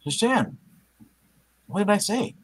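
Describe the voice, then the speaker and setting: Man acting out an excitable, swooping young superhero. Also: high-pitched, takes it slowly, breathy edge, voice pushed up, heavily acted. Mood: anxious musing. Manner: exclamatory, swooping, theatrical. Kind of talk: comedic live comic book reading.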